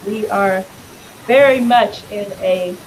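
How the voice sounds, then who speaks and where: Raspy-voiced woman giving a campaign speech. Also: medium pitch, slow pace, a little hiss or hum, poor audio. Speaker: congressional candidate.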